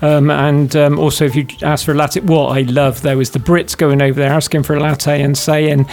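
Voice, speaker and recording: A person chatting on a radio show, making dry, wry remarks. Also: female voice, deep voice, flat delivery, normal speed, darkish tone. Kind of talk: casual chat.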